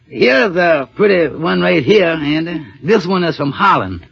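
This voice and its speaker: A man's voice: a little high, expressive, a little slow, gravelly, a bit bright, breathy edge, dry recording, faint music behind, exaggerated voice. An actor playing a patient, earnest father in 1940s radio style, speaking in a folksy, slightly hesitant way. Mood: gentle. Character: patient, gentle, earnest father, working man. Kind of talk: 1940s radio drama acting. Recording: dull old 1940s radio broadcast.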